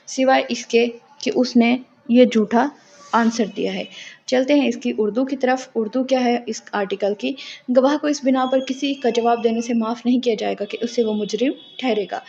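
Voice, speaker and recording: High-pitched Pakistani woman lecturer, dull lo-fi recording. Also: vocal fry, darkish tone. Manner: didactic, explanatory. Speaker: law lecturer. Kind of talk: lecture, reading legal text aloud and explaining it. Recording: dull lo-fi sound with faint noise.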